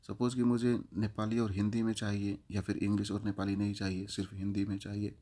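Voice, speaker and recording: A man's voice: on the low side, monotone, a little fast, warm tone. A man giving an informal step-by-step app walkthrough, explaining with examples and repeating his instructions. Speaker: app tutorial presenter.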